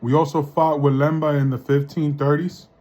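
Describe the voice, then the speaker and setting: A man's voice: fairly high pitch, level tone, moderate pace, a little mellow. A man from the Dominican Republic giving a casual spoken monologue, often tagging his points with "right?" and restarting sentences. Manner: conversational, often tags lines with "right?" and restarts. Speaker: vlogger.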